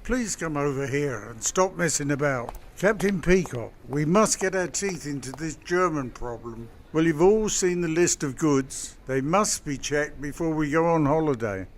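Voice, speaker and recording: Officious store manager, man acting, high-pitched, bossy and formal, amateur radio comedy, faint background noise. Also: animated, normal speed, hardly any pauses, natural voice. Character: officious, flustered department store manager, male.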